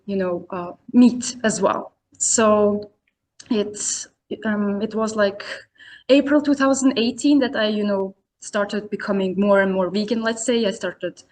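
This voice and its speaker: A woman originally from Serbia talks through her story in relaxed, conversational English. She hesitates often, leaning on "you know" and restarting sentences as she goes. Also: mid-range voice. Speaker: podcast guest, community founder. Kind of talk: casual interview answers.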